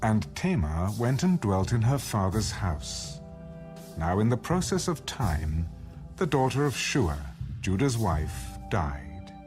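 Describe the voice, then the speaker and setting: Lively, low-voiced male scripture narrator. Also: takes it slowly, over music. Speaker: audio Bible narrator.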